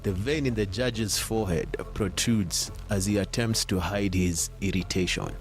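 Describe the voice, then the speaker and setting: A man narrating an audio drama in a theatrical, measured, suspenseful way. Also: fairly low pitch. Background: music.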